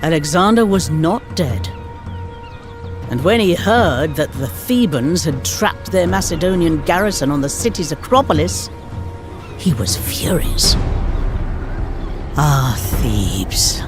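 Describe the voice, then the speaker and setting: A woman narrating history for a game cutscene in a theatrical, measured storytelling manner. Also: low voice, lively intonation. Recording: music behind the narration.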